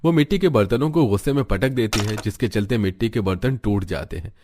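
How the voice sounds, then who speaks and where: Low-voiced male story narrator. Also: fairly quick, noisy background. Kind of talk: scripted cartoon story narration.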